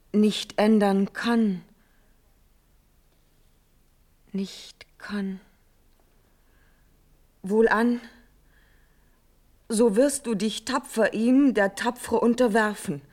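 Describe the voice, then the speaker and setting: An actress playing a brave, devoted young princess who speaks earnest, declamatory verse in a classic radio drama. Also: female voice, mid-range voice, fairly even intonation, a little mellow. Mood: resolute, sorrowful. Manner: declamatory, earnest, measured delivery.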